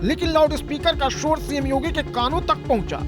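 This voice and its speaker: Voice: sits high, brisk. A news reporter reading a scripted report with dramatic, punchy delivery. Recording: loud music under the voice. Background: loud news music bed.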